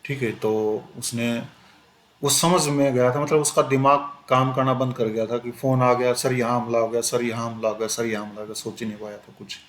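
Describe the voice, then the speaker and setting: A man's voice: mid-range voice, little pitch movement. Echoey-voiced man giving an exam-prep lecture. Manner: conversational, repeats "ठीक है" often, switches into slow English phrases. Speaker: teacher. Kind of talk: exam-prep lecture mixing Hindi and English. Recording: echoey room with faint ambient noise.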